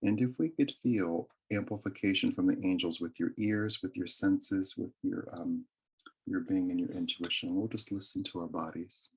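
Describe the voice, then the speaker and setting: A man leading a guided meditation with prayer and affirmations, speaking in a soothing, deliberate, reverent way. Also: sits low, steady tone, husky, rough recording. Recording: live recording.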